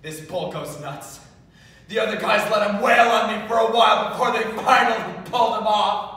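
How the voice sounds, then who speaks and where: A man's voice: sits high, sharp tone. Young man acting raw addict monologue, echoey room. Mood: raw, defiant. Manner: halting, dramatic retelling with sudden outbursts. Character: battered male heroin addict, raw, defiant storyteller. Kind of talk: stage monologue. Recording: distant echoey room, faint noise.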